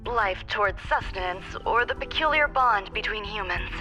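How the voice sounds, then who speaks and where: An actress playing a calm, aloof genius scientist in a video game, speaking in a measured, formal, clinical way, with music behind her. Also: mid-range voice. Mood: detached, musing. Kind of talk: scripted video game dialogue.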